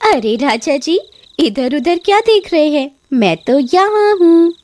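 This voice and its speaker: A woman acts out a sly female witch from a cartoon horror tale. Her voice is high and very animated, coaxing and teasing in slow, drawn-out calls, with faint noise behind it. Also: full character voice. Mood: teasing, seductive. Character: sly flirtatious female witch luring men into a well.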